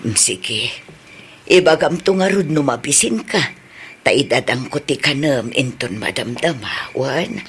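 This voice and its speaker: A woman with an Ilocano accent and a husky voice acting a poor, gentle, doting mother in a radio drama. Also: very deep voice, animated, unhurried, slight background noise. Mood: tender, coaxing.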